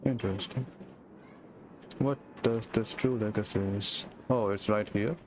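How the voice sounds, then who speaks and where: A man with a fairly low voice streams a story game. He comments casually or reads the text aloud, with game music clearly audible behind him. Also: a little slow. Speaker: gaming streamer.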